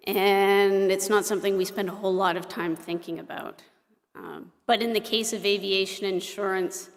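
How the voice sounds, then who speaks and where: A woman from Ontario, a director presenting to a meeting in a lively, emphatic way with touches of humor. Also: mid-range voice. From Ontario, Canada. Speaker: association director presenting an insurance program. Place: meeting hall at an airport. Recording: roomy hall reverb.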